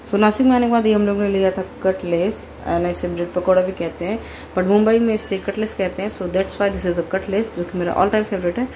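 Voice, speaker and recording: A woman's voice: medium pitch, steady tone, normal speed, dark tone, a little hiss or hum, rough recording. A woman and mother narrating her family's train journey in a loose, chatty travel-vlog style, mixing in English words and fillers.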